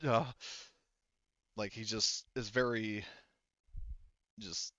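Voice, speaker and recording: A man narrating improvised tabletop roleplay as game master, halting and conversational, on slightly dull, dry audio. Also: fairly low pitch, steady tone, a bit bright, dry recording.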